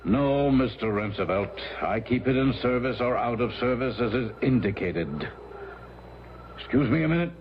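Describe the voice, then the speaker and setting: A man plays a dour family servant in a radio mystery. He is formal, curt and deadpan, at a middling pitch. The recording is muffled with clear noise behind him. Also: moderate pace, lightly acted. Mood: dour, guarded. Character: stiff, dour male servant tending the bridge. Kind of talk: radio drama acting. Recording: dull, noisy radio recording.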